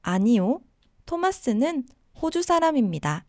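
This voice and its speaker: A woman teaching a language lesson, giving model sentences and simple explanations in a deliberate way for learners. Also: sits high, big pitch swings, dark tone, no room sound, clean studio sound.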